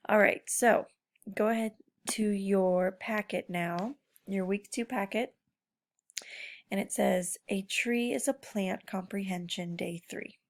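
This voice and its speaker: A woman with a gravelly, creaky edge to her mid-pitched voice teaches young children informally. She speaks as a schoolteacher, guiding them with questions and simple instructions. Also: steady tone, slow pace. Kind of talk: informal read-aloud lesson for children.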